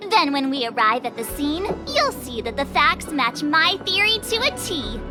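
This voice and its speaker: A woman's voice: high voice, sing-song, normal speed, over music. A woman voicing a bubbly, self-important teenage girl playing detective, in anime-style video game acting. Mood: self-assured. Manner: perky, theatrical, self-important.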